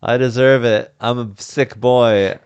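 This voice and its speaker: A man hosting a podcast, improvising comedy scenes in a deadpan way and laughing often. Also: medium pitch, a little slow, clear, resonant tone, dry recording, lo-fi sound. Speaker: podcast host. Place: house.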